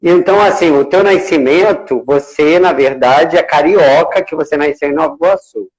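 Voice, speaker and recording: A man's voice: a little high, moderate pace, poor audio. A man hosting a casual live chat, enthusiastic and full of hesitant restarts. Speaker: host.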